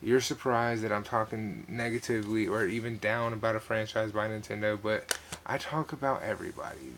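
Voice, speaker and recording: A man giving a casual, opinionated rant as a gaming vlogger, speaking emphatically and haltingly, repeating himself and swearing casually. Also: on the low side, a bit bright, slight background noise. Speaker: video game vlogger.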